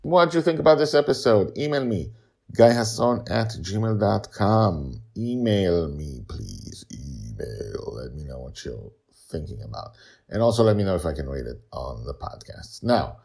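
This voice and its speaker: Podcast host, male, middle-aged, low-pitched, emphatic storyteller who leans on pauses and repetition. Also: sing-song, creaky, darkish tone, husky. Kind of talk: emphatic solo storytelling podcast commentary and credits.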